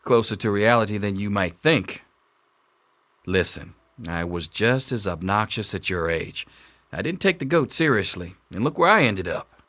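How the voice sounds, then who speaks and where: Sardonic, deep-voiced schoolteacher. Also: male voice, animated, moderate pace, bright, forceful tone. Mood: joking, confiding. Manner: sardonic, theatrical drawl. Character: wry, jaded middle-aged schoolteacher. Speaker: teacher. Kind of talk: video game dialogue acting.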